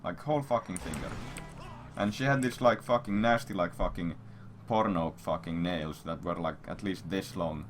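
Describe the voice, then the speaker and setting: A man's voice: low voice, normal speed, soft background music. A man casually telling a rambling story to stream viewers in crude language full of swearing. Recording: noisy stream audio, keyboard sounds.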